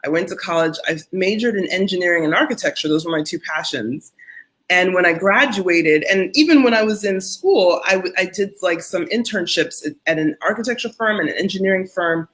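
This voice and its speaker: A woman's voice: on the low side, brisk, fairly bright tone, husky, poor audio. A middle-aged woman host telling personal stories in casual conversation, candid and self-deprecating, acting out her own thoughts and dialogue as she goes. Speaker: community founder and host.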